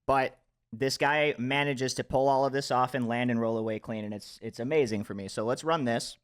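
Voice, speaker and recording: A man's voice: mid-range voice, fairly even intonation, brisk, clear, resonant tone. A man hosting a podcast, chatting casually and introducing clips in an informal, sweary way. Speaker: podcast host.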